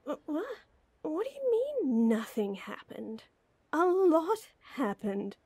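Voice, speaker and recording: A woman's voice: sits high, wildly swooping pitch, takes it slowly, darkish tone, no echo, heavily acted. A woman playing a flustered, lovestruck young woman in an intimate audio roleplay, hesitant and teasing. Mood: nervous, earnest.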